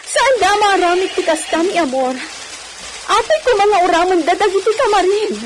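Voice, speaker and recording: An actress with an Ilocano accent playing an anxious, devoted wife in a radio comedy-drama, with a pleading, exclaiming manner. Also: female voice, very high-pitched, lively intonation, normal speed, slight background noise, rough recording. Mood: alarmed, worried.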